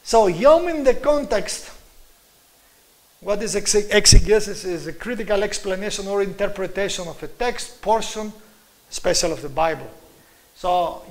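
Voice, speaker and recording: A man's voice: sits high, poor audio. A middle-aged man with a Greek accent giving an earnest slide lecture, stressing his points. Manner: earnest, emphatic, chuckles at own points.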